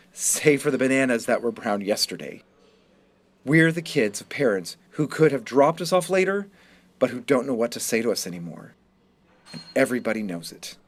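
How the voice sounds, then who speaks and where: A man reading a first-person story as a wounded, resentful high school student, in scripted narration. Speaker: reader of a short story.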